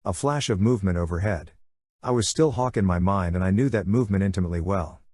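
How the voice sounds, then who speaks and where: Male audiobook narrator, lowish and husky, delivering scripted first-person action drama in a dry, close sound. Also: quick pace, a little mellow, no room sound.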